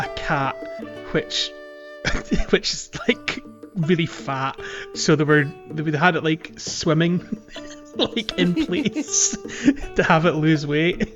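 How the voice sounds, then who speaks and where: A man co-hosting a podcast, chatting casually about a video game in a hesitant, chuckly, conversational way. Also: fairly high pitch. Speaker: podcast co-host.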